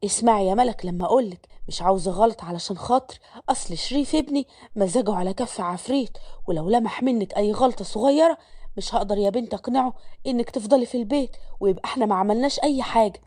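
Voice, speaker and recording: A woman voicing an older, doting mother with an Egyptian accent, speaking softly and coaxingly. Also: mid-range voice, fast talker, no room sound, studio quality, lightly acted. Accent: Egyptian Arabic.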